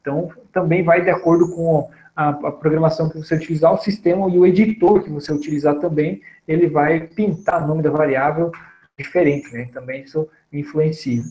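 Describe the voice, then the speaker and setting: A man in his thirties teaching programming on a screen recording, relaxed and chatty, often checking in with his listeners. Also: on the high side, a little fast, roomy sound, rough recording. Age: 30s. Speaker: programming instructor. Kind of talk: screen-recorded coding tutorial.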